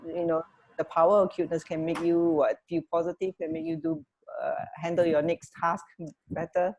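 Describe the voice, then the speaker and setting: A woman co-hosting a radio show, chatting casually and haltingly over an internet connection. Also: fairly low pitch, vocal fry, darkish tone, muffled recording, slight background noise. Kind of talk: casual chat.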